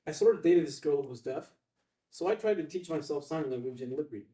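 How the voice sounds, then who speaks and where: An actor playing an eager, awkward young office assistant in a table read, hesitant and rambling. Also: male voice, fairly high pitch, animated, fast, warm tone, close to an everyday voice. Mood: distracted, goofy. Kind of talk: filmed screenplay table read. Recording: echoey room, distant mic.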